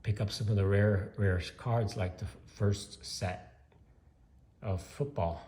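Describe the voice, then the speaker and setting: A man's voice: on the low side, steady tone, takes it slowly, mellow, reverberant, slight background noise. An older man from Cuba chatting casually about his collection, rambling with lots of fillers and restarts. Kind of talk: casual show-and-tell vlog.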